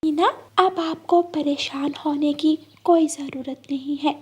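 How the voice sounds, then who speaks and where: A woman voicing a kind female fairy in a cartoon, speaking in a gentle, soothing manner. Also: high-pitched, steady tone, slow. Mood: reassuring.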